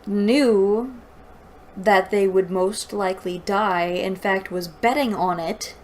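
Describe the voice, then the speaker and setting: A woman's voice: mid-range voice, slow, a little hiss or hum. A woman reading aloud and giving mocking, incredulous commentary as the host of a book review. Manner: exasperated, incredulous.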